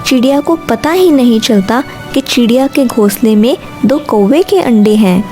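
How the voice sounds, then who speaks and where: A woman with a warm, dark tone and slightly high pitch narrates a children's story. Faint music plays behind her scripted telling. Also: lively intonation, moderate pace.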